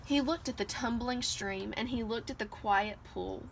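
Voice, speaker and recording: A woman giving an expressive read-aloud of a children's picture book, recorded outdoors on a porch. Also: fairly high pitch, moderate pace, gravelly. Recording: noisy outdoor home recording.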